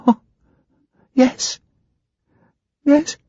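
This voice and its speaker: A man voicing a terrified young woman under hypnosis, speaking in high, breathy, trembling whispers. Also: very slow, warm tone, no room sound, highs cut off.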